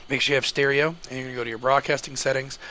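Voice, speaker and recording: A man with a bright, forward voice walks through instructions as a tutorial host. His delivery is informal and methodical, going one step at a time. A faint layer of noise sits behind him. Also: mid-range voice, little pitch movement, racing. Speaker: tutorial host, gamer and streamer. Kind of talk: casual how-to tutorial narration.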